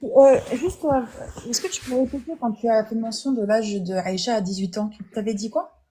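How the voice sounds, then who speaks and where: A woman taking part as a guest in a live online debate, speaking in a measured way and repeating herself when interrupted. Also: a little high, mellow, slight background noise.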